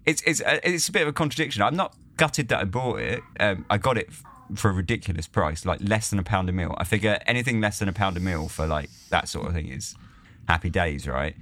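A young man co-hosting a casual podcast, talking in animated bursts, stumbling and repeating words as he starts sentences. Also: low-pitched, fast, slight background noise. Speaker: podcast co-host. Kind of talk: casual chat.

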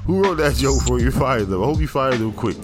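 A man's voice: mid-range voice, expressive, brisk, over loud music. A man hosting a podcast, chatting casually in a slangy, blunt, teasing way. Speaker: podcast host.

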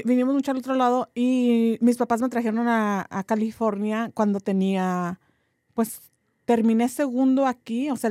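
A woman's voice: fairly high pitch, mellow, no echo, studio quality. A woman from Tijuana with a Tijuana Mexican Spanish accent, co-hosting a podcast in blunt, slangy, laugh-filled casual chat. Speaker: podcast co-host.

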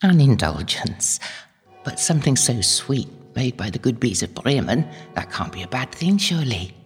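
An actress playing a devout, motherly older holy woman in an audio drama, gentle, knowing and unhurried. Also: extremely low pitch, big pitch swings, long reverb tail, soft background music. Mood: musing.

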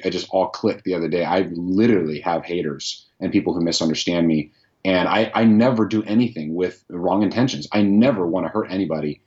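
A man with a deep voice answering interview questions in an earnest, reflective way, thinking aloud. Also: a little mellow, muffled recording. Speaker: podcast host, guest here.

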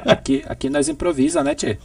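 Male gaúcho co-host, casual and jokey, lively swings in pitch, creaky texture, slightly off-mic. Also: a little fast, no echo, studio quality, recorded from a distance. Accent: southern Brazilian, gaúcho. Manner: jokey, playful. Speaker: co-host reading listener questions. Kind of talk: casual advice show chat.

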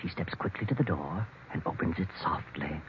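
A male actor narrating a suspense story in a deliberate, dramatic old-time radio style. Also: low voice, lively intonation, slight background noise. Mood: suspenseful. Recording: dull old radio broadcast with music.

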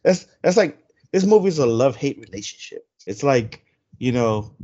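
A man's voice: mid-range voice, very animated, moderate pace, warm tone. A man whose first language is Spanish, co-hosting a casual podcast and rambling with lots of fillers and restarts. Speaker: podcast co-host. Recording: dull lo-fi sound.

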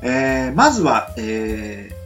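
Male radio host, chatty, filler-heavy, animated pitch. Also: takes it slowly, faint music behind. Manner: lots of filler words, lively rising pitch when excited. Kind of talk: late-night casual radio chat.

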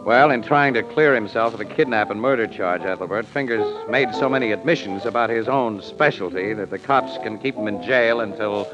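A man's voice: on the low side, brisk, hardly any pauses, natural voice. An American man playing a wry, tough newspaper crime photographer in 1940s radio drama style. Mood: breezy, satisfied. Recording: dull old radio recording, music behind.

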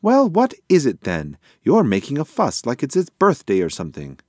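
A man voicing an excitable, muddle-headed, fussy male pigeon in a children's storybook, blustering and exclaiming. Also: medium pitch, moderate pace, no room sound, studio quality, voice pushed up. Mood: flustered, fussy.

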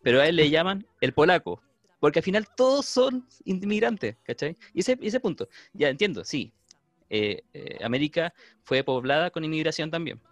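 A man with a Chilean Spanish accent, debating casually and often searching haltingly for his words. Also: fairly high pitch, big pitch swings, dry recording. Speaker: discussion panelist.

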